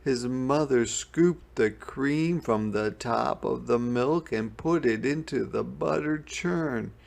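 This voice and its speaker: A man narrating a children's picture book in a deliberate, expressive storytelling manner. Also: medium pitch, gravelly. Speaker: storybook reader. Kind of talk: scripted children's read-aloud narration.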